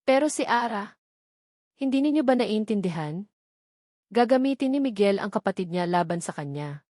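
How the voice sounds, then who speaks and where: A woman's voice: mid-range voice, lively intonation, normal speed, a little mellow, no room sound, clean studio sound, lightly acted. A woman voicing a determined, protective young rich man in a dramatic story, emphatic and insistent. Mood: urgent, protective.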